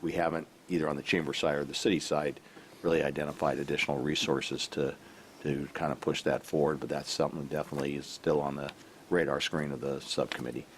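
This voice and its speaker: A man giving a halting report at a council meeting, full of fillers and restarts, while losing his voice. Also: a bass voice, little pitch movement. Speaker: city staff member. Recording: faint background noise from a live stream.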